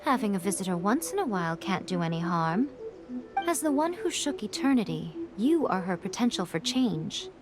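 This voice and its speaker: A woman voices a poised shrine priestess in a video game. Her mid-pitched voice moves expressively as she speaks in a cryptic, composed way. Music plays clearly behind. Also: big pitch swings, normal speed, natural voice. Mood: musing, composed.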